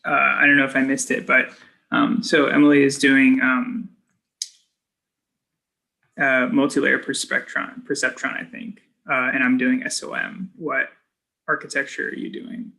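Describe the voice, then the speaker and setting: A young man, a fellow student, asking halting questions on a video call with light laughs. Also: medium pitch, flat delivery, creaky, sharp tone, low-quality recording.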